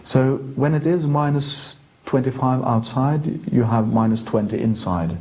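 Norwegian priest with a mellow voice. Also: male voice, medium pitch, unhurried, slight background noise, rough recording. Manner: explanatory, with frequent restarts and repeated words. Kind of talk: interview answers.

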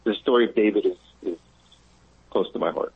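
A man calling in to a radio show by phone, talking in a thoughtful, halting, conversational way. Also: fairly low pitch, creaky, husky, dry recording. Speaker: phone-in caller. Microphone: telephone. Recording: muffled, narrow phone line.

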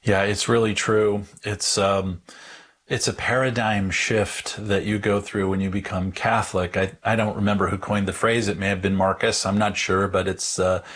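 A man on a podcast panel teaching conversationally about religion, deliberately repeating words for emphasis. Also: lowish voice, level tone, fairly bright tone, breathy edge.

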